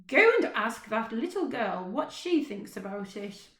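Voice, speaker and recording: A woman's voice: fairly high pitch, very animated, measured pace, a bit bright. A woman voicing a firm but loving mother owl who speaks in a coaxing way, in a roomy, echoey room. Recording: roomy echo.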